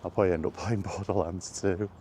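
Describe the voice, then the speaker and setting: Male gaming vlogger, low dark voice, unhurried and meandering, some outdoor background noise. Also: fairly even intonation. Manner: hesitant, meandering, thinking aloud. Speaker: gaming content creator and podcaster. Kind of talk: casual rambling vlog chat.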